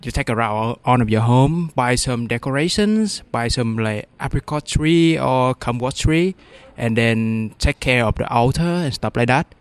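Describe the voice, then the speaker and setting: A man from Vietnam, a student, speaking haltingly in a casual interview and searching for his words. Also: mid-range voice, animated, clear, resonant tone, dry recording.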